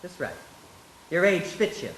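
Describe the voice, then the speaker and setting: Guy as eager young small town dreamer, folksy old radio play style, bright lively voice, echoey. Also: sits high, unhurried, exaggerated voice. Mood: boyish, eager. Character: eager, restless young small-town man, boyishly earnest. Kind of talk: live 1940s-style radio play acting. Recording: echoey stage, background noise.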